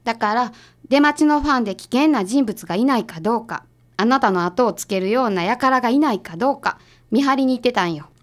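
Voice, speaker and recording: A sharp, no-nonsense female detective's assistant in a radio drama, played by a woman. Her voice is on the high side, with a Kansai accent. She talks casually and gets straight to the point. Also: expressive, a little fast, lightly acted. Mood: calm, explaining.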